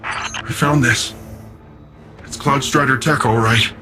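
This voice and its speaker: Game casting: wistful grieving guardian, reflective, halting, bright mid-range voice, faint music. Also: male voice, medium pitch, fairly even intonation. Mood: wistful, resolute. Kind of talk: dramatic video game dialogue.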